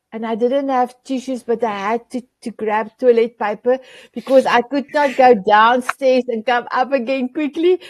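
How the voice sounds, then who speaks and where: Woman hosting an online show, afrikaans first language, gushy and warm with her guests, dry close sound. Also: fairly high pitch, no echo, rough recording. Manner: effusive, repeats "beautiful", searches for words. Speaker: show host. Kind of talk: casual talk show hosting.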